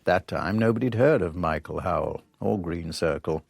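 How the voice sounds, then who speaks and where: An actor playing a skeptical foreign correspondent in a radio drama, dry and laconic. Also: male voice, low voice, normal speed, vocal fry, no echo. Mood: wry, narrating. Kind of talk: radio drama narration and dialogue.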